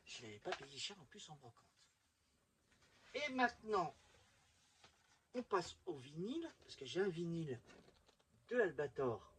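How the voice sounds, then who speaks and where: YouTube collector host. Very rough, husky voice at a medium pitch, rambling fan delivery, casual show-and-tell. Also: very animated, a little slow, pauses often.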